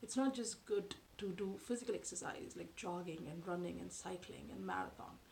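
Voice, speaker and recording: Measured woman talking to camera. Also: lowish voice, creaky, a little mellow, a little hiss or hum, low-quality recording. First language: Tamil. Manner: measured, thoughtful, with frequent um and uh pauses. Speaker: vlogger. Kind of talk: explanatory talk to camera. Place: living room, seated on a sofa. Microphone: camera in front at close range.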